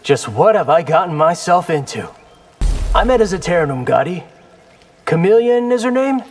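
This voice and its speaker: A young fighter in a video game who is cocky and defiant. His voice sits a little high and moves expressively, with casual, wry phrasing over faint music. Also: sing-song, moderate pace. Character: cocky, determined young male Earth warrior. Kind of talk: video game character dialogue.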